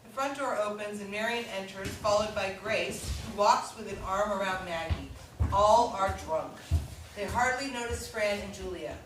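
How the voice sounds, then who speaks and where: A woman's voice: medium pitch, moderate pace, recorded from a distance. A woman reading scripted stage directions as the narrator of a staged play reading. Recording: echoey room from afar, faint noise.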